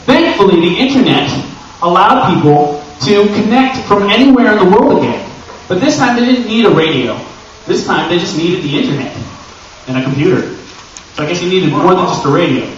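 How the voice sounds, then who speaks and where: An American man giving an informal live panel talk to a convention audience, animated and conversational, with self-deprecating jokes. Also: high-pitched, fairly quick. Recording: echoey, dull, noisy room from far off.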